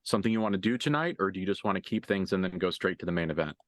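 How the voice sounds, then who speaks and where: A man running a tabletop role-playing game as game master, improvising narration and dialogue in a casual, halting, sweary way. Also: deep voice, no room sound, studio quality.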